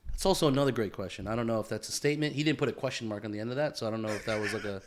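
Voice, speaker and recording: A man's voice: lowish voice, racing, a little hiss or hum. A man hosting a podcast in casual, profane, joking chat, full of slangy bro talk. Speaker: podcast host.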